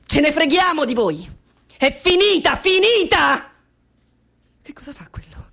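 An actress playing an anguished, defiant grieving mother in radio theatre, intense and theatrical, with a husky voice that often drops to a whisper. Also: sits high, sing-song, measured pace, fairly bright tone, exaggerated voice. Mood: defiant, agitated, then fearful. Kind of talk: dramatic radio theatre monologue.

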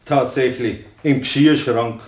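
A man's voice: mid-range voice, steady tone, a little slow, darkish tone, lightly acted. An actor playing a flustered, grumpy man with a Bavarian accent in a radio play, blustering and scolding. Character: flustered, grumpy man hunting for lost keys. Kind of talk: German radio play acting. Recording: roomy sound, noisy old recording.

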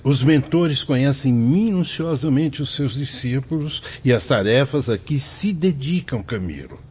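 A Brazilian actor playing a wise, fatherly priest spirit with a husky voice, reassuring in a radio drama. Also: medium pitch, lively intonation, measured pace, a little mellow, a little hiss or hum, lightly acted. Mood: calm, fatherly. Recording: dull lo-fi audio.

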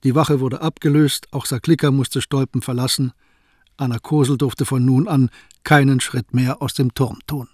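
A man narrating a radio play in a steady storytelling manner. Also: medium pitch, moderate pace, dry recording. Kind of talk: scripted radio drama narration.

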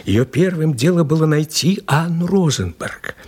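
Animated, husky police inspector telling a case. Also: male voice, on the high side, moderate pace. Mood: dramatic, intense. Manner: animated, respectful, emphatic storyteller. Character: deferential police inspector eagerly recounting a mysterious case. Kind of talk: audio play acting, dramatic storytelling.

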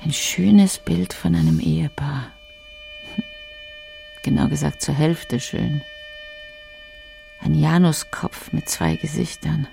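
An actress playing a bitter, brooding older wife in a literary radio drama monologue, with a husky, creaky voice and sardonic delivery. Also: female voice, deep voice, huge pitch swings, slow, long pauses, mellow, soft background music, full character voice.

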